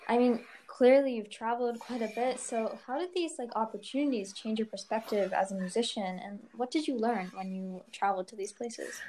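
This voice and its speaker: A woman's voice: fairly high pitch, brisk, hardly any pauses, dark tone, a little hiss or hum, low-quality recording. A young adult woman asking questions casually as a co-interviewer in a conversation.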